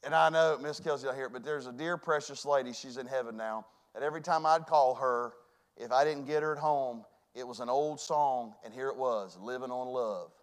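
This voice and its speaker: A man preaching a sermon in church, swinging between loud calls and hushed, earnest asides. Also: mid-range voice, fairly quick. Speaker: pastor.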